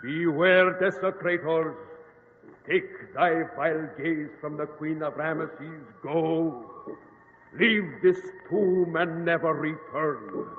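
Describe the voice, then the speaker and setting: Slow, echoing, menacing tomb guardian. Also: male voice, on the high side. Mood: ominous, commanding. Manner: slow, solemn, archaic pronouncements. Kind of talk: old-time radio drama acting. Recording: muffled reverberant noisy old radio recording.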